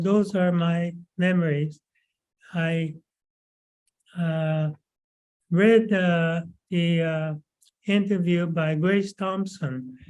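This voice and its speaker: A man in his nineties from Canada, recalling his childhood in a slow, halting way with many pauses and fillers. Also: sits high, darkish tone, clean tone, rough recording. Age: 90s. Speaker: webinar speaker. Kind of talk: recalled life story.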